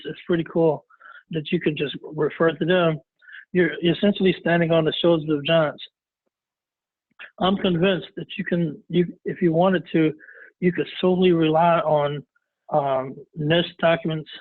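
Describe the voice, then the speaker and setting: A man with a cold, his voice raspy and hoarse, presenting a webinar in a halting way full of fillers and restarts. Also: a little high, no room sound. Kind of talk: casual presentation. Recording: dry, tinny phone-like line.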